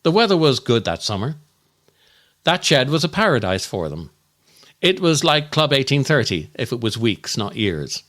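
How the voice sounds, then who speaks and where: A man delivering a scripted comic monologue as a storyteller, with dramatic, deliberate and darkly comic delivery. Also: mid-range voice, big pitch swings, fairly bright tone. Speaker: comedian and podcast host.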